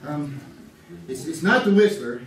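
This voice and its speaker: A man's voice: on the high side, expressive, a little slow, distant mic, a little hiss or hum. A man playing a flustered husband on a quiz show who hesitates, stammers and repeats himself, in old-time radio stage acting. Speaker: game show contestant. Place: theater stage. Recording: roomy live theater recording with audience.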